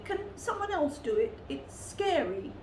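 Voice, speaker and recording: A woman's voice: high-pitched, sing-song, unhurried, a little mellow, voice pushed up. A woman voicing a timid, whining, hesitant brown bear in a children's storybook. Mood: scared, whining. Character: timid whiny brown bear with big wet nose. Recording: roomy echo with faint background noise.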